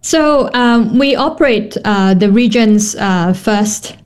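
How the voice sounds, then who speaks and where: A woman's voice: mid-range voice, expressive, takes it slowly, clear, resonant tone. A woman in her thirties from Hong Kong, a company founder answering interview questions thoughtfully, with many fillers and restarts.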